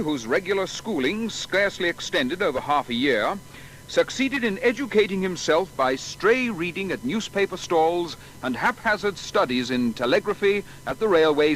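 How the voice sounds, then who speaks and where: High male voice, admiring period journalist, earnest, literary, lively intonation, faint noise. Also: rough recording. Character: admiring English journalist of a past era. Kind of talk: dramatised reading of a written eulogy.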